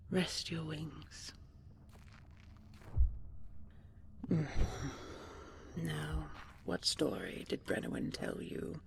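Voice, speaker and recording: Woman acting as dragon queen, regal, tender, breathy and often whispering, gravelly creak, very unhurried, halting, intimate fantasy audio roleplay. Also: deep voice, slight background noise, exaggerated voice. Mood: amused, affectionate. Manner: gentle, halting, unhurried endearments. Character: tender, regal female dragon queen consoling her beloved. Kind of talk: intimate audio roleplay, sleep-aid storytelling.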